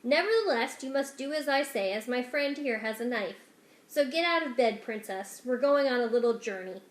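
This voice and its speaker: A woman's voice: on the high side, expressive, moderate pace, lightly acted. A woman voicing a menacing male villain rat in a storybook, falsely polite, formal, condescending and commanding. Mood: sinister politeness. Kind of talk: dramatic children's storybook reading. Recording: echoey room.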